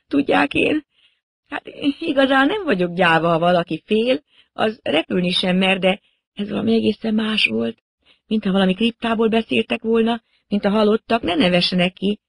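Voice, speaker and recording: A man voicing a frightened, breathless young woman pilot in an audiobook, talking in a high, excited rush. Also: gravelly, husky, no room sound, clean studio sound, heavily acted. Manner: high, excited, rushed pleading.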